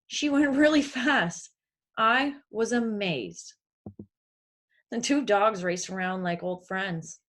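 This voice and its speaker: A woman voicing a lonely, polite young boy in a storybook read-aloud, earnest and wistful. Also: medium pitch.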